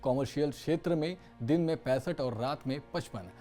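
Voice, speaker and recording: A man's voice: on the high side, moderate pace, warm tone. A man presenting scripted news narration as a news presenter. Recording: music bed behind voice. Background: background music.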